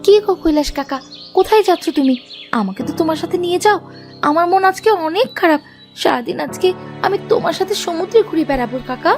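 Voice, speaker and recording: A woman's voice: extremely high pitch, lively intonation, fast talker, soft background music. A woman voicing a bold, stubborn young village woman in a fairy-tale cartoon, speaking with defiant emphasis. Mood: eager, confident.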